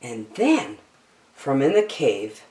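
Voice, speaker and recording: A woman with a lowish voice reads a children's story aloud. She is theatrical and unhurried, with very lively intonation. The sound is roomy and echoey. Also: recorded from a distance. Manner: theatrical, deliberate phrasing. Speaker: storytime reader. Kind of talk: children's picture book read-aloud.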